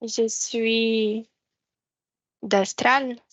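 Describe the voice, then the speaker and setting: Slow, hesitant woman student. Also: fairly high pitch, steady tone, no room sound, dull audio. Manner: hesitant, tentative answers. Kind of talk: answering drill questions in class.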